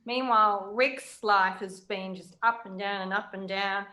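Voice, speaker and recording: A woman interviewing in a conversational way, reflective and pausing to choose her words. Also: mid-range voice, fairly even intonation, pushed and bright. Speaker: interviewer, writer.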